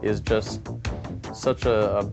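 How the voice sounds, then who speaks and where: Slow, gravelly low-voiced man. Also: level tone, muffled recording, music in the background.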